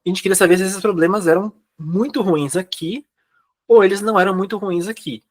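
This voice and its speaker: A young Brazilian man speaking Brazilian Portuguese, recounting his experiences in a relaxed, chatty way with many fillers and quoted dialogue. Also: sits high, a little fast. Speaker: guest. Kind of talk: casual interview chat.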